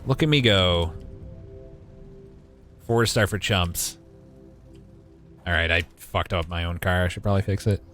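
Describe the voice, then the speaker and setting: A man in his twenties with a deep voice gives casual, wry live gaming commentary and talks back to his chat. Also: a little slow, long pauses, a bit bright, faint music behind. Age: 20s. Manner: wry, joking, mild swearing, talks to chat. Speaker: game streamer.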